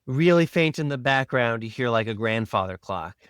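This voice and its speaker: Man, smooth clean voice, sound designer, informal explanation, casual podcast talk, dry sound. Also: medium pitch, animated, moderate pace, fairly bright tone, no room sound.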